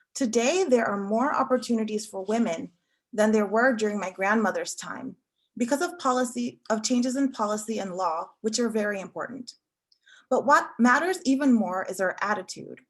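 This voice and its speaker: A woman with a fairly high voice reads an essay aloud. Her delivery is measured and earnest, as a reader at a literary event. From Africa. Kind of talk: reading a prose essay aloud.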